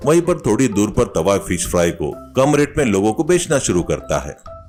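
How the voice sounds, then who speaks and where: A man's voice: lowish voice, very animated, normal speed, over music. A man narrating a scripted comic moral story as a storyteller.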